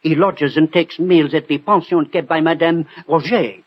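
A man's voice: fairly high pitch, steady tone, moderate pace, natural voice. An actor playing an anxious, deferential French perfumer in a radio drama, hesitant and formal in his speech. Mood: anxious, careful. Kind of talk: 1960 radio drama acting. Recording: dull old radio broadcast.

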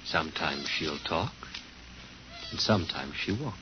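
An actor playing a controlled, condescending nephew in 1950s radio drama, coaxing and patronizing. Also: male voice, very deep voice, sing-song, measured pace, full character voice. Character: controlled, condescending nephew minding his aunt. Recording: muffled old radio, noisy background.

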